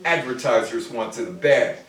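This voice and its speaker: A man's voice: mid-range voice, measured pace. An older American man giving an informal public talk on history, addressing listeners directly with short asides. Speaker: lecturer. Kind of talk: public talk on music history, reading quotes and commenting. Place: echoey room with an audience. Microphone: distant microphone. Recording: very echoey room, distant microphone.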